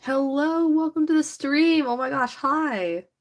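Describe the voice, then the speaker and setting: A young woman hosting a casual livestream, chatty and giggly, her voice a little raspy from allergies. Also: sits high, unhurried, highs cut off. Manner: chatty, giggly, rambling with many "like"s. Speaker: livestream host. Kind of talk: casual livestream chat while painting a figure. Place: indoors at home.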